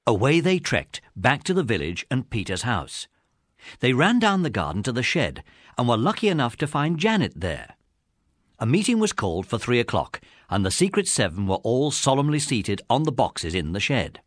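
A man's voice: medium pitch, very animated, normal speed, no echo. A man narrating a children's adventure story from a script. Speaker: audiobook narrator.

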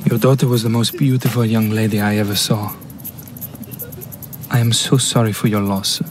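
An actor playing a solemn, courteous Italian gentleman, speaking formally in a radio drama. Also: fairly low pitch, little pitch movement, normal speed, noisy background, natural voice. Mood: solemn, resigned.

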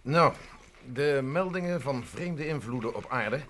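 Actor as a level, matter-of-fact traveller, vintage radio play with faint hiss. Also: male voice, mid-range voice, lively intonation, measured pace, lightly acted. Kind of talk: 1960s radio drama acting.

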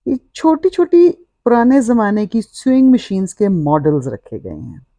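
A woman's voice: medium pitch, very animated, measured pace, mellow, no room sound. A middle-aged woman, narrating a walk-through vlog in a descriptive, explanatory way. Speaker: vlogger.

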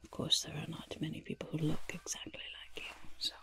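A young woman voicing a fussy, sly, reassuring goblin in a soft-spoken ASMR roleplay, breathy and whispery, repeating soothing little phrases close to the microphone. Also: fairly quick, lightly acted. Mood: attentive, reassuring. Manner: speaks of self in third person, repetitive soothing patter.

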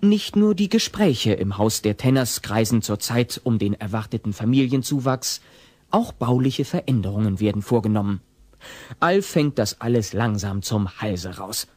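A man's voice: lowish voice, animated, moderate pace, a little mellow, no room sound. A man giving scripted narration for an audio play. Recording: old cassette audio with music.

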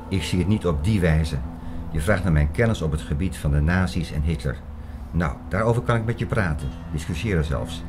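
An actor in a radio drama playing a sceptical, learned man who argues in a measured, lecturing and dismissive way. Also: deep voice, noisy background, lightly acted. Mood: firm, sceptical. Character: sceptical, learned older male friend named Klaus, dismissive. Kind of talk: radio drama dialogue acting.

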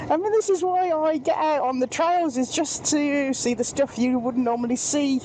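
A woman's voice: high voice, measured pace, darkish tone, a little hiss or hum, poor audio. A British woman giving casual, chatty, self-deprecating commentary through a helmet mic as she rides a motorbike outdoors. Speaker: motorbike vlogger. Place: outdoors, riding a motorbike on country trails.

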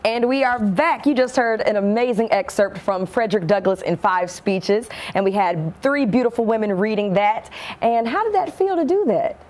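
A woman's voice: medium pitch, expressive, normal speed, darkish tone. Woman hosting a local TV chat. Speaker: talk show host. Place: small furnished living-room style TV set indoors.

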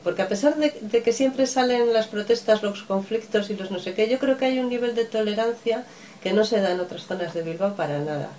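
A woman's voice: on the high side, fairly quick, warm tone. A woman answering an interview in an earnest, thoughtful way.

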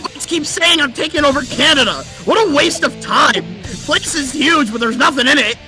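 Casting: bombastic, petty mad scientist villain, high theatrical rant, comedy cartoon dub with prominent music. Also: male voice, lively intonation, moderate pace, sharp tone, heavily acted. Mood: exasperated. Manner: ranting, theatrical, foul-mouthed. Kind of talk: improvised comedic cartoon game fandub.

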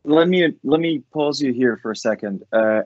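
A man's voice: mid-range voice, expressive, normal speed, a little mellow, no room sound, lo-fi sound. A man with a Scottish accent co-presenting a technical webinar, summarizing things in structured, numbered points.

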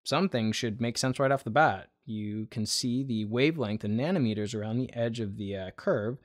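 A man in his thirties with a medium-pitched voice presents an informal how-to. He explains in a measured, instructional way and talks directly to his viewers as the host. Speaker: video host, aquarium hobbyist explaining lighting. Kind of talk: informal explanatory tutorial narration.

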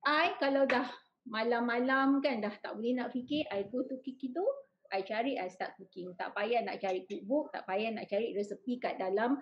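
A woman's voice: on the high side, fairly quick. A woman in her thirties with a Malaysian accent giving a casual cooking demonstration, mixing Malay and English. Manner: code-switches between Malay and English. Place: home kitchen. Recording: dull lo-fi video-call audio.

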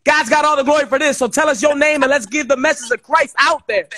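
A man in his thirties hosting a livestream in casual, animated talk, close to a studio mic in a small room. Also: very high voice, fast talker. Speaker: livestream show host.

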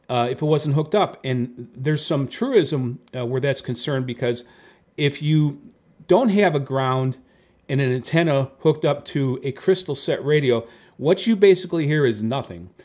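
A man's voice: mid-range voice, darkish tone. Male hobbyist host, mature, unscripted and conversational, tentative delivery that often doubles back to correct itself. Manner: halting, self-correcting, tentative. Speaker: video host, radio hobbyist. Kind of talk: casual product talk, unscripted explaining.

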